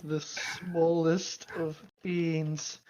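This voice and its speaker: A medium-pitched voice hosting a comedic reading show. The delivery is casual and playful, full of quips tossed in between stretches of reading aloud. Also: flat delivery, extremely slow, a little mellow, highs cut off, slight background noise. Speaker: host of a bad fan fiction reading show.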